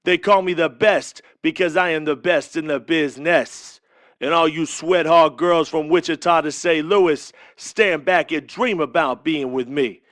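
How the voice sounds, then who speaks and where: A man's voice: on the high side, a little slow, a bit bright, no echo, clean studio sound. An actor playing a cocky, boastful pro-wrestling pretty boy in a taunting promo for an audio drama. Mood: cocky boasting.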